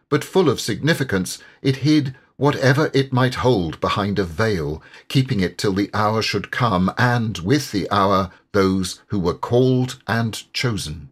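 A man with a lowish voice narrates literary fiction with dramatic feeling. His delivery is slow and measured, with lively rises and falls. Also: slightly rough. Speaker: audiobook narrator, voice actor.

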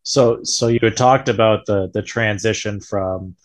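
A man's voice: lowish voice, steady tone, measured pace. A man asking questions as an interviewer in an informal, loosely worded, conversational way.

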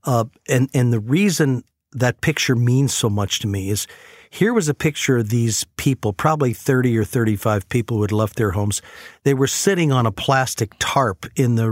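A man with a mid-pitched voice tells stories in an earnest, reflective way. He sounds like a conversational radio host, recorded dry and close. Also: moderate pace, dry recording. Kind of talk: conversational storytelling on a faith talk show.

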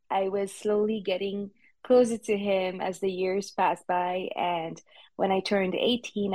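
A woman's voice: mid-range voice, darkish tone, no echo. A woman from Switzerland whose first language is French, speaking English with a French accent as a guest pastor on a podcast. She laughs often and hesitates with many ums. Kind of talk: casual interview chat.

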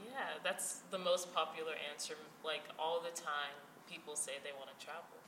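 A woman's voice: sits low, steady tone, normal speed, fairly bright tone, reverberant, a little hiss or hum, low-quality recording. A teenage girl hosting a podcast interview, reading her questions with light laughs in between. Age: teens. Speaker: podcast host.

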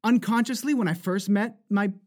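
A man with a New Jersey accent, talking as an animated voice actor guest who laughs often and slips into accent demos. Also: high voice, moderate pace. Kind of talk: casual interview chat.

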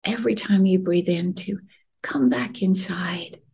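A woman leading a guided meditation in a gentle, soothing, breathy voice that often drops near a whisper, unhurried with long pauses. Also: fairly low pitch, steady tone. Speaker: podcast host and meditation guide.

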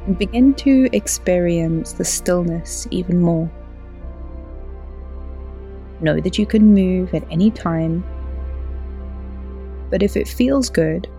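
A woman guides a relaxation meditation at a very slow, unhurried pace. Her voice is mellow and fairly low, and she gives soothing, gentle instructions over music. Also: vocal fry. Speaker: yoga teacher and podcast host.

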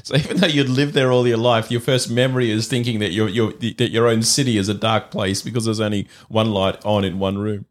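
A man's voice: on the low side, flat delivery, brisk, few pauses. A man hosting a church podcast interview, often restarting and trailing off his questions. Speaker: podcast host.

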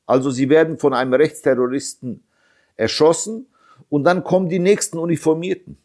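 A man's voice: fairly high pitch, fairly even intonation, moderate pace, a little mellow. A man in his fifties from Germany, giving an impassioned interview as a bereaved father, indignant and emphatic, repeating his points and firing off rhetorical questions.

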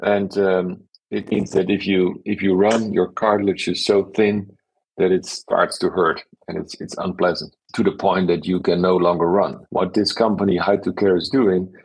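A man with a husky voice, explaining examples conversationally as an investor being interviewed. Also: sits low, darkish tone, low-quality recording. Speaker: interview guest, venture investor.

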